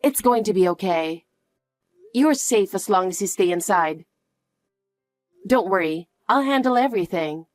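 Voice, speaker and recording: A woman playing a calm, protective mother who speaks softly and reassuringly to her daughter. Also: medium pitch, no echo, faint music behind, lightly acted. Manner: soft, slow, reassuring. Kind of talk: dramatic dialogue.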